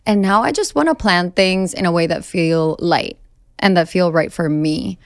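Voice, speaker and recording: A woman of about thirty, from Venezuela, speaks in a smooth, clear voice that sits a little low. She talks openly and jokes at her own expense. The recording is close and dry. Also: normal speed, no room sound. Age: 30s. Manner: candid, self-mocking, laughs often. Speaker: guest. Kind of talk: casual interview chat.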